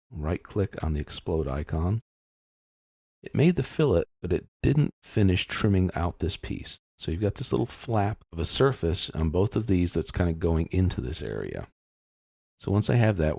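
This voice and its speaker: A man giving an informal, unscripted step-by-step software tutorial, explaining and thinking aloud as he works. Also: sits low, gravelly, husky, no echo, studio quality.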